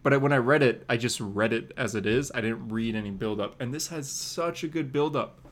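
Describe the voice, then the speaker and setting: A man's voice: mid-range voice, fairly quick. A man co-hosting a podcast in casual chat, with an enthusiastic, rambling way of talking. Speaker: comic book podcast co-host.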